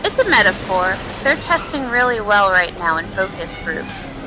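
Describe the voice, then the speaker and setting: A woman's voice: mid-range voice, big pitch swings, moderate pace, over music, lightly acted, low-quality recording. A woman voicing a self-absorbed, dramatic young princess pony with a sassy, whiny manner. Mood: self-satisfied. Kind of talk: cartoon voice acting in a comic read-along.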